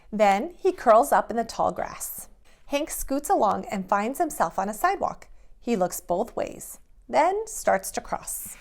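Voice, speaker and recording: Female preschool teacher for children's read-alouds and lessons: mid-pitched, very animated intonation, slow delivery, simple guiding phrasing. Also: vocal fry. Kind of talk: expressive picture-book read-aloud and children's drawing lesson.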